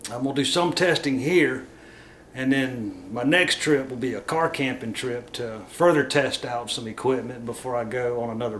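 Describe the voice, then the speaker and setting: A man with a hoarse voice from bronchitis, casually explaining camp food at home in a rambling, chatty way. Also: medium pitch, a little hiss or hum. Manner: rambling, chatty, self-correcting. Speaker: camping vlogger. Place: at home, indoors.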